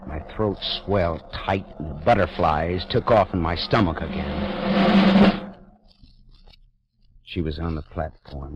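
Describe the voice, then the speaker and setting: A man's voice: very deep voice, background noise. An actor playing a wry, tense male private detective who narrates in clipped, hard-boiled 1940s radio style. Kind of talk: 1940s radio drama acting. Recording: dull lo-fi 1940s radio broadcast. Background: dramatic music score.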